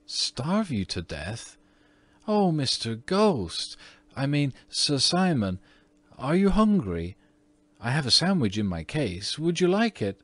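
A man's voice: medium pitch, very animated, takes it slowly, dry recording, pitched above the performer's own voice. A man voicing a prim, spirited young American girl in a storybook, earnest and scolding. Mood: concerned. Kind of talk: storybook character reading.

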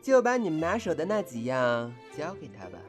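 A woman's voice: sits low, big pitch swings, brisk, soft background music. A woman playing a bossy, exasperated male brothel boss in a comic audio drama, commanding and mocking.

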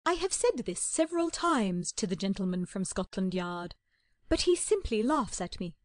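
A woman reading the part of a weary older spinster lady in an audiobook, speaking slowly and plaintively. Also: medium pitch, very animated, no echo, close to an everyday voice. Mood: protesting. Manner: plaintive, slow and heartfelt.

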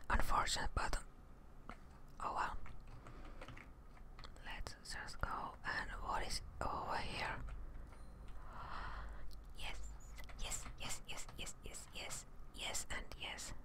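A young woman doing ASMR game commentary in a breathy whisper close to the microphone, repeating short phrases and swearing under her breath. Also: takes it slowly, pauses often. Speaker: gaming streamer. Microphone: close microphone on boom arm, with headset.

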